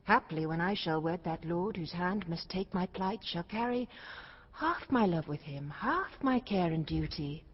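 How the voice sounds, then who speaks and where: An actress playing an honest, dutiful young princess in a classical Shakespearean stage drama, speaking earnestly and plainly. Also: female voice, fairly low pitch, animated, unhurried, slightly rough, lo-fi sound. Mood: earnest, firm.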